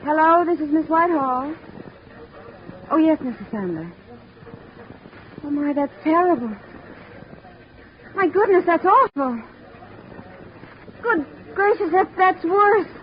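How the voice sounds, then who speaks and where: Earnest, easily flustered office girl, prim, polite, high voice, vintage radio drama, muffled sound. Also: lively intonation, takes it slowly, pauses often, full character voice. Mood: alarmed, fluttery. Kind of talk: 1940s radio acting. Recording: noisy, dull vintage radio recording.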